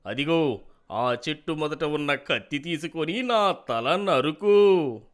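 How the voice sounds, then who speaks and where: A man voicing a fearsome, hungry one-eyed ogre for a storybook tale, in a drawn-out, commanding, menacing delivery. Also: a little high, lively intonation. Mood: booming approval. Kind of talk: dramatic storybook character acting.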